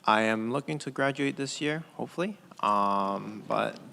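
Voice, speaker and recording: A man's voice: medium pitch, moderate pace, vocal fry, sharp tone. A young man in his twenties, a college senior, introducing himself on a panel in a plain, slightly hesitant way. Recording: hall reverb with faint noise.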